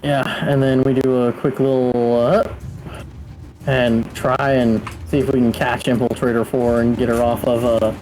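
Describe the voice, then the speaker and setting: A man's voice: mid-range voice, little pitch movement, measured pace, darkish tone, a little hiss or hum, poor audio. A man playing a tabletop game, chatting casually and working out his moves aloud with some hesitation. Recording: music behind the voice. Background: background music.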